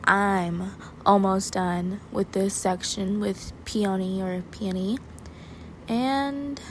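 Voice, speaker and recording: A woman giving casual, rambling commentary while she draws, soft and quiet, self-deprecating and prone to hesitant restarts. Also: mid-range voice, creaky, slight background noise. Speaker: digital artist and channel creator. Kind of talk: casual commentary over a speedpaint.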